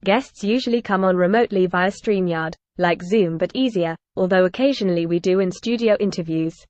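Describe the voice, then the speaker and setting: A woman reading a scripted promotional announcement in an even, listing delivery. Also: fairly low pitch, brisk, dry recording. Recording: dry, dull lo-fi audio.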